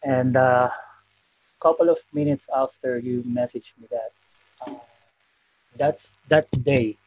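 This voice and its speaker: Soft-spoken man on a video call. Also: mid-range voice, fairly even intonation, no room sound, muffled recording. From Philippines. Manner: soft-spoken, frequent "uh" pauses. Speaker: guest. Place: indoor room.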